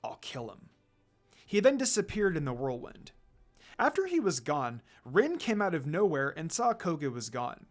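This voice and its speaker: Expressive storyteller with lively, swinging pitch. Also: moderate pace, faint music behind. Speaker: narrator. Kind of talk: dramatic fan fiction story reading.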